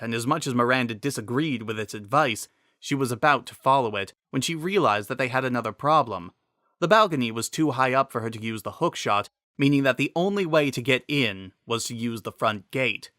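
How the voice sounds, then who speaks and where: A man narrates a story with an expressive, emphatic delivery. His mid-pitched voice is bright and forceful, recorded dry and close. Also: dry recording. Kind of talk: dramatic scripted story narration.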